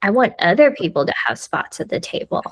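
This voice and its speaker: A chatty woman in her thirties, speaking at a mid pitch. She talks loosely as a podcast guest and fills her sentences with "like" and "you know.". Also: sing-song, brisk, slightly rough, dry recording. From United States. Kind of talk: casual conversational interview.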